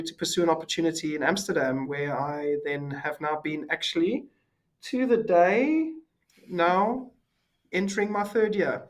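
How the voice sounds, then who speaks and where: South African man, higher voice. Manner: relaxed, many fillers and restarts. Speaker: podcast guest. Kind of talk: casual interview chat.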